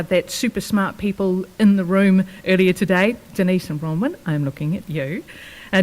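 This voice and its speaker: A New woman opens a public science evening, reading her introduction to a room of listeners. Her voice sits a little low and carries a long reverb from the hall. She keeps it formal but slips in small fillers and asides. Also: a little mellow. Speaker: event host. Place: event room with an audience.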